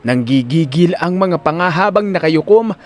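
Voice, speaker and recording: Animated Filipino male storyteller. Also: on the high side, moderate pace. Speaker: narrator of an audio drama. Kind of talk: dramatic scripted story narration.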